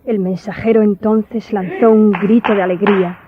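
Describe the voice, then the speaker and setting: An actress playing a grieving daughter who solemnly tells her tragic story in a radio drama, in a measured way. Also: medium pitch, steady tone, dull audio, background noise, close to an everyday voice. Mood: solemn, tense.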